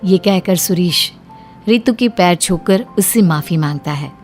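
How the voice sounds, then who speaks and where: A woman's voice: on the low side, measured pace, a little mellow. Woman narrating a dramatic story over music. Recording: music bed under the voice. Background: background music.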